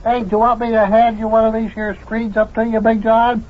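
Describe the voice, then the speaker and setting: An actor playing a folksy, rambling tall-tale spinner and joker in an old-time radio comedy. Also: male voice, high voice, monotone, a little fast, slight background noise, lightly acted. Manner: drawling, rambling, folksy ungrammatical speech. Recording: dull, lo-fi old radio recording.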